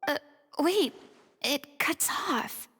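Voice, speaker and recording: An actress playing an earnest, thoughtful young woman investigator in a video game, breathy and reflective. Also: high-pitched, lively intonation, extremely slow, fairly bright tone, exaggerated voice. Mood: grave, focused. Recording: reverberant, game music behind.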